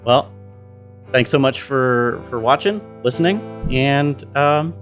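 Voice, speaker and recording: A man's voice: medium pitch, takes it slowly, a bit bright, faint music behind. A man giving an informal, rambling walkthrough of his own sound design work, thinking aloud as he goes. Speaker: sound designer presenting behind-the-scenes video.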